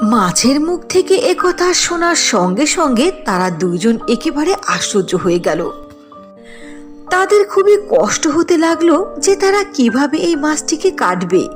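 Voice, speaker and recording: High, animated woman storyteller. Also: a little slow, faint music behind. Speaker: story narrator. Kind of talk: scripted cartoon folk-tale narration.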